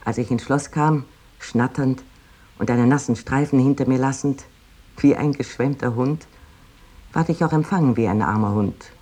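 An actor reciting a wry, vivid first-person letter in a radio drama, in a measured storytelling manner. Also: male voice, mid-range voice, mellow, natural voice.

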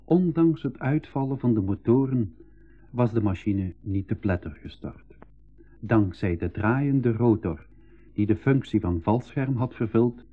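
An actor playing an earnest first-person narrator of an adventure in a 1980s school radio play, with measured, literary phrasing. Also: male voice, fairly low pitch. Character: earnest male narrator recalling his own expedition adventure. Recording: tinny, band-limited old radio recording.

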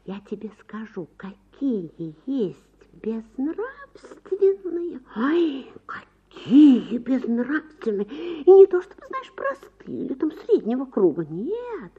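A woman's voice: sits high, warm tone, slightly rough, muffled recording, heavily acted. Affected, gossipy society lady, wildly swooping voice. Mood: scandalised. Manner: gushing, theatrical, with coy drawn-out words and conspiratorial whispers. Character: affected, gossipy society lady, coy, indignant, self-righteous wife. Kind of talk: dramatic literary reading.

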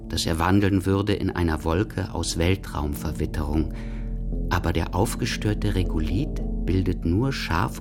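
Tiefer, langsamer Hörspielerzähler. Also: male voice, sits low. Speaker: narrator. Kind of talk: scripted literary radio play narration.

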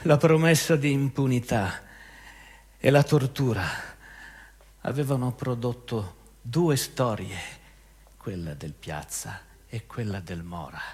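A husky-voiced man giving dramatic, theatrical scripted narration as a stage actor. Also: medium pitch, expressive, slow pace, long pauses. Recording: reverberant stage hall with music.

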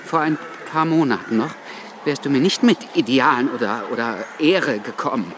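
An actor playing a hot-tempered, wry revolutionary journalist with a stutter in a radio drama, speaking in halting, impulsive bursts. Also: male voice, mid-range voice, noisy background, lightly acted. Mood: agitated, hurt.

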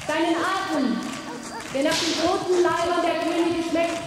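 A vengeful, declaiming avenger. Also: female voice, very high voice, fairly even intonation. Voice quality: declaiming slow, grim curses. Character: vengeful woman vowing to hunt her faithless lover. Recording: distant voice in echoey hall with noise.

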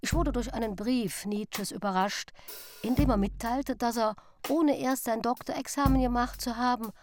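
A woman voicing a formal memoir narrator in a German radio play, measured and literary. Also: fairly high pitch, a little mellow, faint music behind, natural voice. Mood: reflective.

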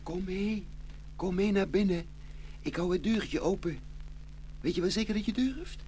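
A woman voicing a brave, gentle young porcelain chimney sweep in an audio fairy tale, tender and reassuring. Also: mid-range voice, unhurried, slight background noise, close to an everyday voice. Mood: gentle, encouraging.

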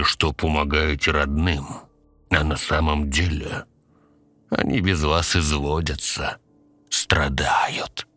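A man voicing a sinister undead train conductor in a horror story, drawling with falsely sweet, ironic, calm menace. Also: very deep voice, no echo, exaggerated voice.

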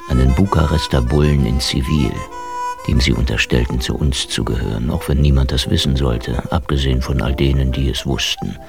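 A man's voice: rumbling low, normal speed, creaky. A man playing a world-weary veteran Russian detective, narrating his case in a dry, wry radio drama style. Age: middle-aged or older. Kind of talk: hard-boiled first-person radio drama narration. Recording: music bed under the voice.

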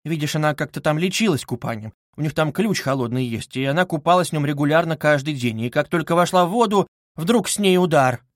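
A man's voice: fairly high pitch, lively intonation, a little fast, hardly any pauses, dry recording, studio quality, pitched above the performer's own voice, natural voice. A man voicing an anxious, tender older mother who speaks in a flustered, gushing, placating way.

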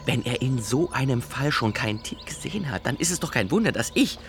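An actor playing an earnest, doubting official inspector in a radio play, speaking in a formal, wordy, insistent way. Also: male voice, mid-range voice, expressive, brisk, soft background music, natural voice. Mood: uneasy, insistent. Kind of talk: German radio play acting.

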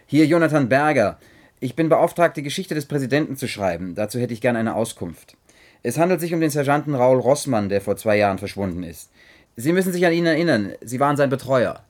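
A man's voice: medium pitch, animated, brisk, darkish tone, lightly acted. Man acting investigator, earnest, polite, preoccupied, hesitant yet persistent, radio play, mid-range voice.

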